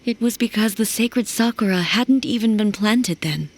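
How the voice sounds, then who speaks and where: An actress playing a gentle, solemn immortal female ruler in a video game, speaking in a measured, formal and wistful way. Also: medium pitch, a little hiss or hum, lightly acted. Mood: solemn, reflective. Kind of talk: video game dramatic dialogue. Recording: music playing behind. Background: music.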